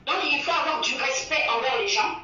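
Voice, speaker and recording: A woman's voice: high voice, expressive, fairly quick, sharp tone. A woman from the Republic, speaking as a guest in a casual interview and often hesitating and repeating herself as she searches for words. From Central African Republic. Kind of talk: casual interview talk, moral advice. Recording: echoey, distant, dull lo-fi sound.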